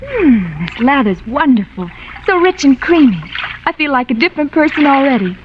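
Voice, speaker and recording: Chirpy young housewife for vintage radio soap ad: fairly high, bubbly, muffled period sound. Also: female voice, sing-song, normal speed, background noise. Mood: relieved, pleased. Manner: bubbly, talking aloud to herself. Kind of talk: 1940s radio commercial acting.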